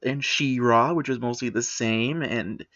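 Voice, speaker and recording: A mid-pitched man reads an online post aloud and argues with it. He stays matter-of-fact and critical, slipping in sarcastic asides. The audio is muffled and lo-fi. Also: measured pace, a bit bright. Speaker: commentator. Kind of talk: opinionated commentary on a blog post.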